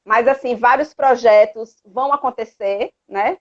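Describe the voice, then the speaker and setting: A woman's voice: on the high side, measured pace. A woman with a Brazilian accent from Salvador, Bahia, speaking earnestly and urging listeners on in a casual live chat, often ending phrases with a tag question. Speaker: host of a live chat, activist parent. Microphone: live social media video call. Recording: dull lo-fi livestream audio.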